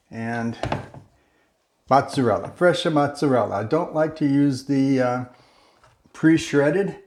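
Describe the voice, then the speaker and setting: A man hosts a casual home cooking video, easygoing and chatty as he walks through a quick recipe. He speaks at an unhurried pace with an ordinary middle pitch. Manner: relaxed and chatty, slips into a joking mock-Italian accent.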